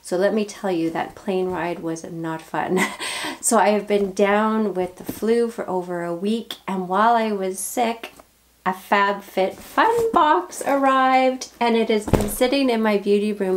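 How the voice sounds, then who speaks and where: A woman in her forties from Canada, hoarse from the flu, chatting casually and upbeat to the camera in her beauty room, with frequent ums. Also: medium pitch, unhurried, a little hiss or hum. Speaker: beauty vlogger. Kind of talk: casual unboxing chat to camera. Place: home beauty room with shelves behind. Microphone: camera at desk distance, head and shoulders framing.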